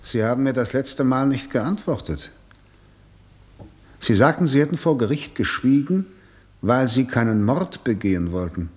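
An actor playing a formal, insistent senior prosecutor in a radio drama, questioning like a stern interrogator. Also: male voice, medium pitch, lively intonation, moderate pace, darkish tone, close to an everyday voice. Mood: stern, probing. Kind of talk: 1960 German radio drama acting.

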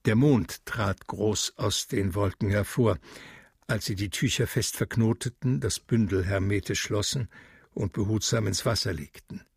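Low slow guy narrating a radio drama, solemn, literary. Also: slightly rough, dry recording, studio quality.

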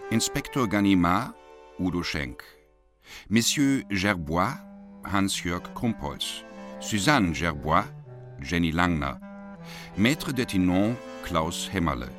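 Deep, measured male announcer. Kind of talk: scripted title and credits announcement. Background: music.

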